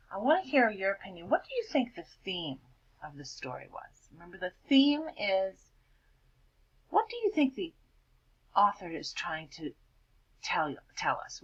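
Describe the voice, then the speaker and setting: A woman teacher reading a picture book aloud and asking her class gentle questions about it. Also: mid-range voice, sing-song, a little slow, breathy edge, muffled recording. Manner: gentle questioning, prompting children to think.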